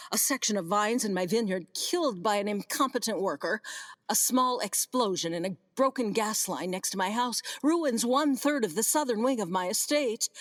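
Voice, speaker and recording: A woman's voice: mid-range voice, no room sound, close to an everyday voice. A woman acting in a radio play as a practical, trusting, easily flustered vineyard owner.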